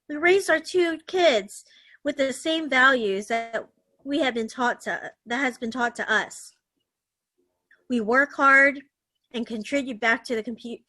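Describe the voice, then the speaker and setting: A woman with a high, bright voice reads a prepared political speech over a video call. She goes slowly and deliberately, leaning on key phrases and occasionally tripping on a word. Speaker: meeting speaker reading a message. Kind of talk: read-aloud political speech.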